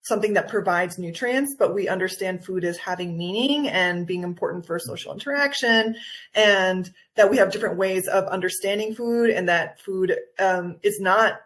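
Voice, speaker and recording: A woman's voice: medium pitch, normal speed, a bit bright. A woman with an American accent and a mid-range voice speaks as a guest in an interview. She is a wellness coach who explains things with enthusiasm. Her delivery is conversational, and she often rephrases as she goes. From Guatemala. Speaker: nutritional anthropologist and wellness coach, podcast guest.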